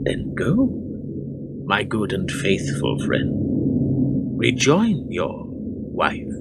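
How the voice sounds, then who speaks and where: Man acting a slow, brooding vengeful medieval lord. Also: fairly low pitch, wildly swooping pitch, creaky, heavy background noise, heavily acted. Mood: solemn, commanding. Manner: slow, declamatory, brooding with dramatic pauses. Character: vengeful young medieval lord, cold, bitter, deceitful. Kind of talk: archaic theatrical radio play monologue.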